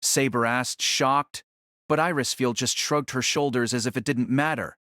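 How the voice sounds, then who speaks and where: A man's voice: mid-range voice, fairly even intonation, normal speed, sharp tone, dry recording, clean studio sound. A man reading scripted story narration for an audio drama.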